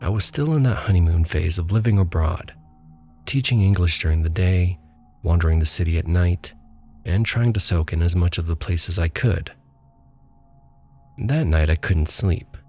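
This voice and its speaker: A man with a very deep, low voice tells a first-person ghost story in a calm, measured way. His delivery is steady, like a scripted narration. Faint music plays quietly underneath. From small town abroad. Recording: faint music bed behind.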